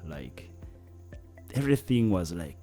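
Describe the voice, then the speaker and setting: A man's voice: deep voice, sing-song, a little slow, dark tone, soft background music. A man from northern Uganda with a Ugandan English accent, speaking earnestly as a guest in an interview, often searching for words. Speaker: guest, climate activist. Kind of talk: interview conversation.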